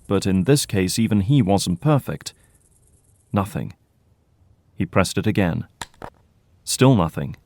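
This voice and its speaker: A man with a fairly low voice narrates an adventure audio drama. His delivery is scripted, deliberate storytelling with a dramatic edge. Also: a little mellow, dry recording. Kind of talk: dramatic scripted narration in present and past tense.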